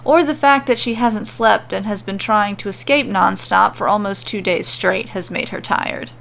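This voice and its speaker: A woman's voice: medium pitch, normal speed, creaky, a bit bright, a little hiss or hum. A woman hosting and narrating a story podcast in an informal, conversational way. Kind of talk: casual chat and expressive story narration.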